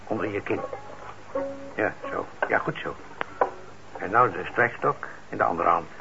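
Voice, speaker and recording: An actor in a radio play as a kindly, trusting middle-aged shopkeeper, speaking gently and haltingly with patient encouragement. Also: male voice, lowish voice, creaky, over music. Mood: patient, friendly. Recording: dull, noisy old radio recording.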